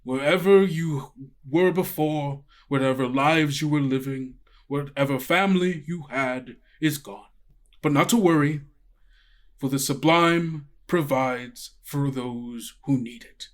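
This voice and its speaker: A man's voice: a little high, takes it slowly. A man voicing a stern owl school principal in a tabletop game, formal, condescending and coldly authoritative. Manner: formal, haughty, belittling.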